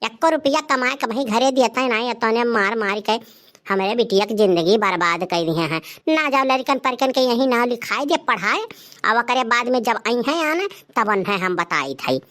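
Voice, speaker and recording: An indignant village mother from a comedy cartoon, acted by a woman, with an Awadhi accent. Her voice is high and sharp, and she complains emphatically like a bossy elder sister. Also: lively intonation, normal speed. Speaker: voice actor of a comedy cartoon channel. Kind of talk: dialect cartoon comedy acting.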